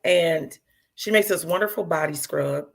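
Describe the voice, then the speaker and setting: A woman's voice: sits low, measured pace, vocal fry. A woman in her fifties, talking casually as a guest and repeating herself for emphasis. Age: 50s. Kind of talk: casual chat.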